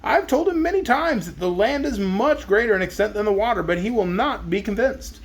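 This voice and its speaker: A man's voice: high voice, lively intonation, fairly quick, voice pushed up. A man voicing a proud, touchy male zebra in a storybook reading, with an indignant, haughty manner.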